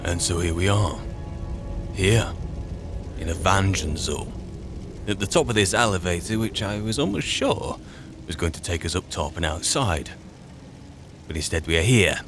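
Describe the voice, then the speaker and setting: A man roleplaying a musing, wry adventurer in a fantasy game, speaking in a halting, dry, reflective way. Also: sits low, expressive, over music. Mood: explaining, dry. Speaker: player narrating a roleplay. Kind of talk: in-character game roleplay monologue.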